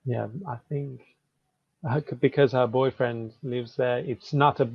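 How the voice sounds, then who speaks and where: A man from England with a Birmingham accent and a deep voice, chatting casually as a conversation tutor. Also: fairly even intonation, a little slow, creaky, slightly rough, dry recording. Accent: Birmingham English. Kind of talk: casual chat. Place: online video call. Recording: dull lo-fi call audio.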